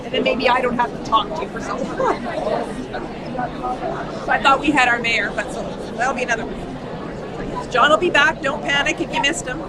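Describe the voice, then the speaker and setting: A woman's voice: high-pitched, normal speed, long pauses. A woman hosting a live charity stream, chatty and full of fillers, losing her voice. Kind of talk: live event commentary. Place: busy indoor gala hall. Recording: loud crowd and noise behind.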